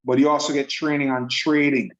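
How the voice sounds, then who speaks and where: A man pitches warmly and persuasively, often doubling up his words as he explains things. His voice sits at an ordinary middle pitch. It comes through a dull, muffled video call line. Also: level tone, brisk. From Jamaica. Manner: upbeat, persuasive, repeats words. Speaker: trading program presenter.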